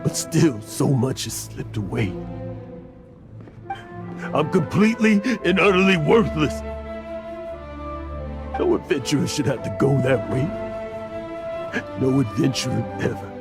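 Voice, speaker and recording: A weary old male adventurer from a video game, full of guilt and self-reproach. He speaks slowly and haltingly in a mid-range voice, with prominent music behind him. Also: medium pitch, expressive. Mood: despairing. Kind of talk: dramatic video game dub acting. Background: prominent background music.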